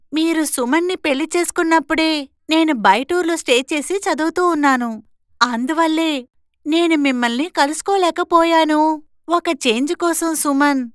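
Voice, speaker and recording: A woman's voice: high-pitched, fairly bright tone, no echo, clean studio sound. A woman voicing a bold young woman in a moral story cartoon, scolding and assertive as she stands up to her in-laws. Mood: firm, explaining.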